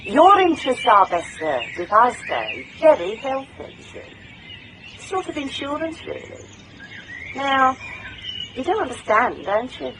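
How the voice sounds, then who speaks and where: A shrewd woman investigator from a radio drama, with a highish, very mobile voice. She is controlled, wry and steely, recorded over faint noise. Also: sing-song, slow pace, heavily acted. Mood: coolly threatening. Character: shrewd, steely female private investigator, quietly morally indignant. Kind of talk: radio drama acting and first-person narration.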